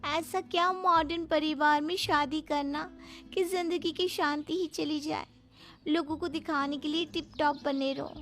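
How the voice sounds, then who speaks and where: Showy modern young socialite, played by a woman, cartoon, very high and unhurried, dramatic. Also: steady tone, fairly bright tone, soft background music. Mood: grateful, confiding.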